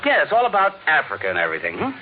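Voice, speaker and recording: A man's voice: a little high, huge pitch swings, racing, narrow, tinny audio, a little hiss or hum. A man playing a middle-aged husband and father, uneasy and firm, in an old-time radio drama. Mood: probing. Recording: thin, band-limited old radio recording, music.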